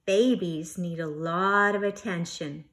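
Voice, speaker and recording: A woman voicing a gentle mother bear who explains things patiently in a children's storybook. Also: fairly low pitch, steady tone, slow. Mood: reassuring.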